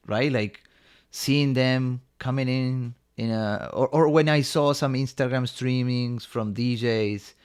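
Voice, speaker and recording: A man from Argentina, a company co-founder, eagerly telling his story in a rambling way with many fillers. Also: mid-range voice. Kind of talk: casual storytelling in an interview.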